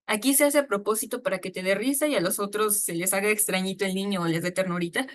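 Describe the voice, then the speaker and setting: Woman lecturer, chatty, mid-pitched, informal, lots of asides. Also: fast. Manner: chatty, with frequent fillers and asides. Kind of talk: informal online talk on storytelling.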